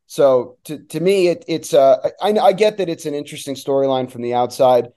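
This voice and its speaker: Guy doing sports analysis like a radio announcer guest, very mellow dark voice, emphatic and opinionated. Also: a little high, brisk.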